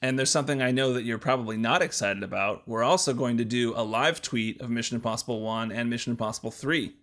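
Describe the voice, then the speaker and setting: Guy hosting a podcast, medium pitch, just chatting casually with his co-host. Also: fast talker, a bit bright.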